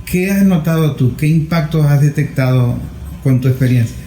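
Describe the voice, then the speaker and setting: A man working as an interviewer, setting out his own views at length in a deliberate way. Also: fairly high pitch, mellow, soft background music. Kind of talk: interview questions with long premises. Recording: roomy echo.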